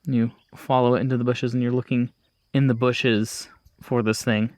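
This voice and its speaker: A man narrates an improvised horror scene as game master. His medium-pitched voice is conversational, moving in pauses and bursts, with a very dry sound. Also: little pitch movement, a little mellow, no echo.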